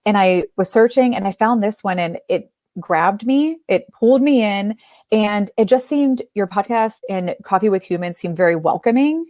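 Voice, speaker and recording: A woman's voice: mid-range voice, normal speed, a little mellow, dry recording, clean studio sound. A woman from Ohio, a guest on a talk show, chatting in a friendly way and laughing often. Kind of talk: casual chat.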